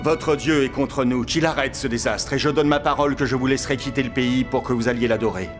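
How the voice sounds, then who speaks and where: A man's voice: mid-range voice, a little fast, natural voice. A man playing a proud, stubborn Pharaoh in a Bible cartoon, speaking in a formal, regal way. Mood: troubled. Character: proud male Pharaoh of Egypt, stubborn ruler. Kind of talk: dramatized Bible cartoon dialogue. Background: faint music.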